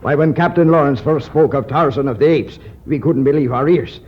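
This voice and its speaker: A man playing an eager, fussy middle-aged archaeologist with an excitable academic manner in a 1950s radio adventure. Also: a little high, brisk, husky. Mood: earnest. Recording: dull, noisy 1950s radio recording.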